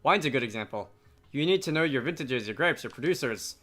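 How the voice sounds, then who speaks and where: A man's voice: medium pitch, lively intonation, a little fast, bright, forceful tone, soft background music. A man voicing a breezy, worldly Scottish wizard who is fond of drink, with a jovial, opinionated manner.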